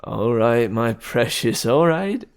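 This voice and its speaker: Good-humored young groom, read by a man, hearty and brisk with some gravel. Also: medium pitch, expressive.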